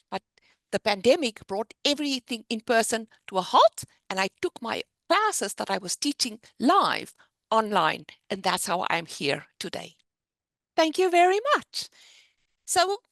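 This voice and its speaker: A middle-aged woman with a South African accent, teaching the basics of investing in an animated, explanatory way on a webinar. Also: a little high, no echo. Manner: animated, explanatory teaching with a lively sing-song rise and fall. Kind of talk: webinar presentation.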